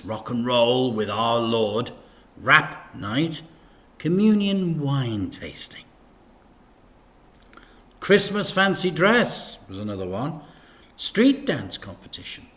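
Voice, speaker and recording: A man reading a children's story aloud in an expressive, deliberate way with dramatic emphasis. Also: mid-range voice.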